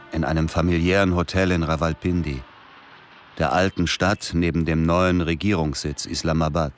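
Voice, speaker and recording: An actor playing a weary, grieving middle-aged former soldier in a radio drama, speaking in a subdued, understated way. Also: male voice, deep voice, lightly acted. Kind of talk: radio drama dialogue and first-person narration. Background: music under the voice.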